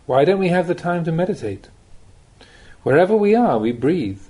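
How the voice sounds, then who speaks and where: A man reading a spiritual teaching aloud as an audiobook, with measured, deliberate phrasing and pauses. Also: a little high, sing-song, warm tone, husky. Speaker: Buddhist monk reading recorded teachings. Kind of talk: audiobook reading of a Dhamma talk.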